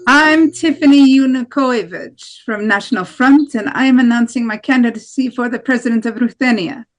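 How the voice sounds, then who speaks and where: A woman's voice: on the high side, normal speed, natural voice. A woman playing a combative far-right nationalist presidential candidate in an amateur table read of a play. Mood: declarative.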